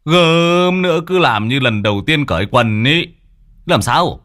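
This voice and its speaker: A man playing a brusque village husband who snaps at his wife in quick, scolding retorts. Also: a little high, lively intonation, a bit bright, pitched above the performer's own voice. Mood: impatient. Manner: gruff, scolding, rapid retorts.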